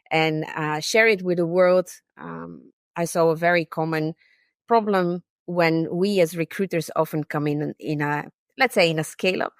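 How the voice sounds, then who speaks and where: Woman, 30s, lowish voice, dry close sound, friendly, thoughtful, ums and uhs. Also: dry recording. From Bulgaria. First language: Bulgarian. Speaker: podcast guest, tech recruiter. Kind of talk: conversational interview.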